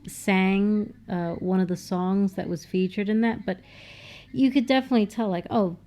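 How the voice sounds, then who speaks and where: A woman with a nasal voice, co-hosting a casual film commentary with quick asides and plenty of fillers. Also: medium pitch, steady tone, warm tone. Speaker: podcast co-host.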